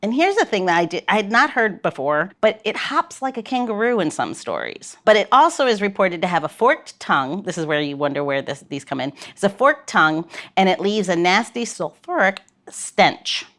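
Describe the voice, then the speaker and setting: A woman's voice: mid-range voice, big pitch swings, normal speed, fairly bright tone. A woman hosting a podcast, chatting and telling stories in a casual, bantering, playful way. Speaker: podcast host. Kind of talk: casual chat and storytelling.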